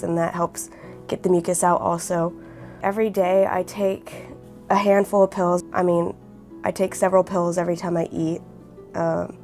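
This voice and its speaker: Raspy-voiced eighteen-year-old woman. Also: lowish voice, a little mellow. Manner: earnest and reflective. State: frequent throat clearing. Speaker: interviewee in a documentary. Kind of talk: personal documentary testimony.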